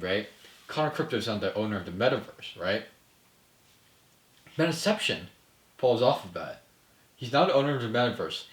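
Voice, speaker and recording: A man's voice: medium pitch, fairly bright tone. A man speaking casually as a filmmaker in a discussion, explaining his ideas and often checking in with "right?". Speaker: filmmaker answering questions. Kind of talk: casual post-table-read discussion. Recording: echoey room.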